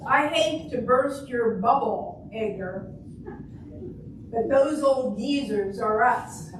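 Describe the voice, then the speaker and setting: A woman's voice: a little high, slow pace. An older woman playing an exasperated old wife in a comic readers' theater sketch, firm and reproachful in manner. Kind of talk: amateur readers' theater comedy. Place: live stage reading in a roomy hall. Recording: echoey room, distant mic, clear background noise.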